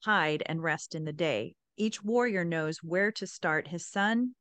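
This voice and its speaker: Woman reading as stern warrior leader, clear voice. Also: lowish voice, a little slow, fairly bright tone, no echo. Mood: stern instruction. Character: stern male Apache warrior leader instructing boys.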